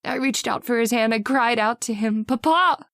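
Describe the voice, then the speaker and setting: An actress playing a devoted young married daughter in an old-time radio horror drama, with an earnest, melodramatic delivery. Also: female voice, fairly high pitch, moderate pace, fairly bright tone, dry recording, clean studio sound. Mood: distressed.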